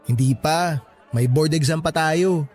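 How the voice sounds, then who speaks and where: A man acting an encouraging, teasing young college graduate with an earnest, animated delivery in a radio drama. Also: a little high, a little slow, faint music behind, lightly acted. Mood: cheerful. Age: young adult.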